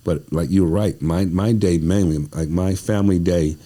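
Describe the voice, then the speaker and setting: Male podcast guest, very deep and dark tone, emphatic and repetitive, conversational storyteller. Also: fairly even intonation, moderate pace. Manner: emphatic, repeats phrases. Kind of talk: animated casual conversation, personal storytelling.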